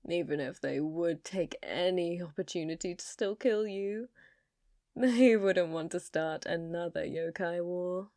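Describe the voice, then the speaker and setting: A woman's voice: fairly low pitch, mellow, dry recording. An ancient, possessive yokai woman, acted by a female performer for an ASMR roleplay, speaks very unhurriedly in a dry, close sound. She is coy and dominant, teasing her listener with sweetness that carries menace, often sinking toward a whisper. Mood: indulgent, protective. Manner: slow, teasing, intimate, often dropping to a whisper.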